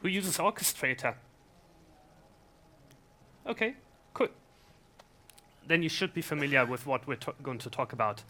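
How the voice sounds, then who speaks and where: A man's voice: fairly high pitch, big pitch swings, unhurried, long pauses, bright, forceful tone. A man presenting at a conference, chatty and self-deprecating, asking the audience questions. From Scotland and Germany. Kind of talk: casual conference talk. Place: conference room.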